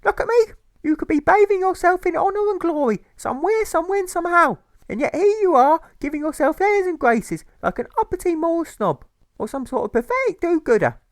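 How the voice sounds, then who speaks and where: A man's voice: very high voice, big pitch swings, brisk, dry recording, pitched above the performer's own voice. A man voicing an overwrought, nagging mother, histrionic and repeating phrases in wails, in a storybook reading. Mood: indignant, hysterical. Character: shrill, overwrought, nagging mother, fussing and guilt-tripping. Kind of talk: theatrical rhyming audiobook.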